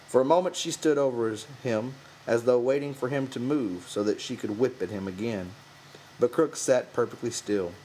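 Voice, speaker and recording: A man's voice: lowish voice, darkish tone, a little hiss or hum, poor audio. A man narrating a novel from a script as an audiobook reader.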